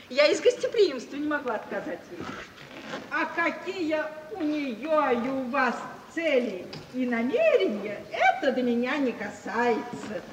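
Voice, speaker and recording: High, lively society hostess. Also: female voice, recorded from a distance. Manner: lively, coy, theatrical. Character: playful, teasing society hostess lady. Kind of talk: 1950s radio play stage acting. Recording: noisy echoey old radio recording.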